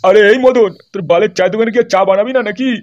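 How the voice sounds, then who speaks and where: A man's voice: high voice, racing, darkish tone, lo-fi sound, lightly acted. A man voicing a nosy, grumbling, pushy old neighbourhood uncle in a comedy cartoon. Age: older.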